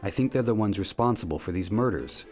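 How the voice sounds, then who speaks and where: An actor playing a polite, earnest amateur investigator in an adventure game, speaking in a courteous, measured way. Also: male voice, on the low side, expressive, a little mellow, close to an everyday voice. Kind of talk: scripted point-and-click game dialogue. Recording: music under the dialogue. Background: background music.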